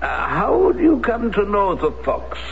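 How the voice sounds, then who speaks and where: An aging ex-SS colonel, lively and cold. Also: male voice, high voice, full character voice. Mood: coolly polite. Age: older. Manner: measured, with hesitant pauses. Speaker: actor in a radio drama. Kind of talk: 1970s radio drama acting. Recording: dull old radio recording, faint hiss.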